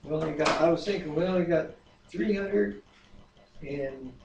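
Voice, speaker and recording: A man's voice: on the high side, vocal fry, noisy background. An older man with a tenor voice, co-hosting a home Bible reading and singing a hymn at a keyboard. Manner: sings slowly while playing keyboard. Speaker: co-host reading scripture. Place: wood-paneled home dining room, indoors. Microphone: far camera. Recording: roomy, distant, dull home video.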